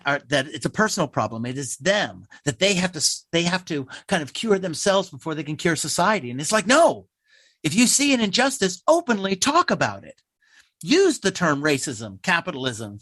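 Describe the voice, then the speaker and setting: A man's voice: fairly high pitch, a bit bright, no echo. An American man, a theater playwright, answering interview questions in an earnest, emphatic, talkative conversational way.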